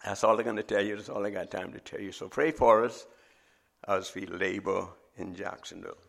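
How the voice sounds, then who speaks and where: A middle-aged man with a Bahamian accent, preaching a sermon as a visiting preacher in a measured, emphatic way. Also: lowish voice, gravelly.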